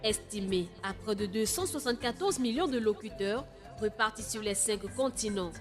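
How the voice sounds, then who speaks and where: A woman's voice: mid-range voice, lively intonation, normal speed. A woman reading a scripted promotional announcement for a radio programme. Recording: loud music bed under voice. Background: loud music.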